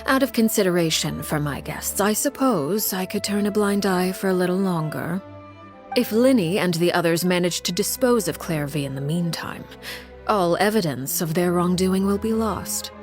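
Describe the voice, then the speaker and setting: An actress playing a poised, coolly menacing aristocratic diplomat in a video game, speaking in a formal, measured manner. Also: female voice, on the low side, animated, in a large hall, natural voice. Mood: veiled menace. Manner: formal, measured, veiled threats. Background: music.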